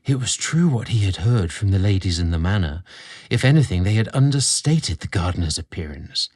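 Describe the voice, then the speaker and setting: A man narrating a scripted romantic story in a dramatic, expressive storytelling manner. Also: low voice, fairly quick.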